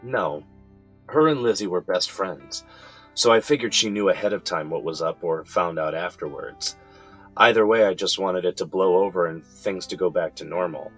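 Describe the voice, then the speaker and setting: Low-voiced, weary man on trial, acted by a man. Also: a bit bright, breathy edge. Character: weary middle-aged defendant confiding in his lawyer. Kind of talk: audio drama dialogue.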